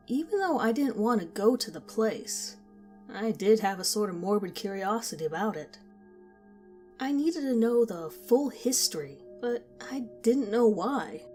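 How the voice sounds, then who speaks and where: A woman narrating a scripted horror story in a slow, measured, intimate way. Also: fairly high pitch, dark tone.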